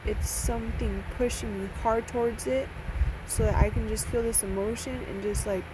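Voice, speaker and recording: A young woman, sad and near tears, talking in a halting, repetitive way with sighs between thoughts in a personal video message. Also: a little high, steady tone, noisy background. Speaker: vlogger.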